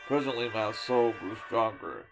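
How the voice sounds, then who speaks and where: A man giving a dramatic reading of a poem, swinging from loud declaiming to hushed whispers and sometimes tripping over a word. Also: fairly low pitch, a little slow, over music. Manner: stumbles and restarts words now and then. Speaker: storyteller reading a poem aloud.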